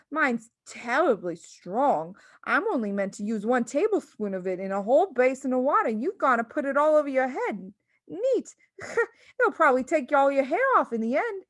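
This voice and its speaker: A woman's voice: sits high, animated, normal speed, dry recording, studio quality, pitched above the performer's own voice. A woman voicing a scornful, nagging mother in a storybook, shrieking and scolding her husband.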